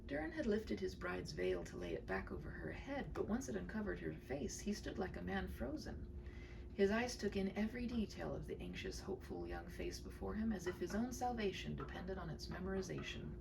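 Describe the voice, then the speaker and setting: A woman reading a story aloud in an expressive, dramatic way, tender and hushed, with pauses for effect. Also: mid-range voice, vocal fry, far from the mic, background noise. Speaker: storyteller reading her own short story.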